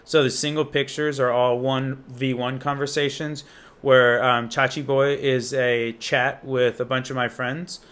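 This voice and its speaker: Young man, American accent, medium pitch, crisp bright edge, casual demo style, informal enthusiastic delivery. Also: little pitch movement, unhurried. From Indiana, United States. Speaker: app reviewer and demonstrator. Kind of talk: casual unscripted app walkthrough.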